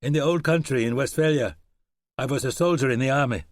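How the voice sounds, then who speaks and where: An earnest young German ex-soldier talks in halting, back-to-front English, as a male narrator puts him on. The voice sits a few steps above the narrator's own and comes across dry and close, with no room around it. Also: high voice, fairly bright tone, dry recording, pitched above the performer's own voice. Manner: German accent, broken word order.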